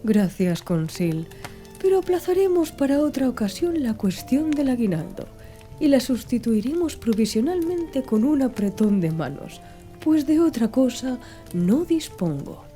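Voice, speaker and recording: A woman voicing a learned, courteous gentleman traveller in formal, measured audiobook dialogue. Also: sits high, sing-song, faint music behind. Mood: courteous, reflective.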